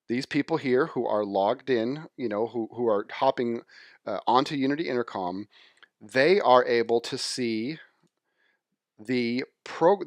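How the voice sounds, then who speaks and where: A man's voice: medium pitch, dry recording, studio quality. A man giving an informal screen-share tutorial, explaining technical steps one by one in a conversational way. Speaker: software demonstrator.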